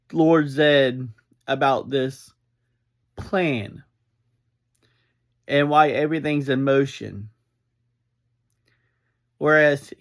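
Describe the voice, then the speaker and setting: A man with a slightly high voice talks very slowly through a casual fan review. His phrasing is halting, broken up by pauses, like someone explaining things off the cuff to listeners. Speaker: podcast reviewer.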